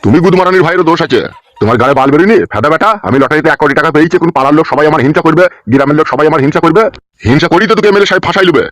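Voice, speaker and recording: A hot-tempered middle-aged father played by a man rages through a comic cartoon in a fairly high voice. He harangues and curses at a very rapid clip, scolding without restraint. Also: expressive, fairly bright tone, no echo. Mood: furious scolding. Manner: abusive, cursing, haranguing. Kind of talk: comic Bengali cartoon dub.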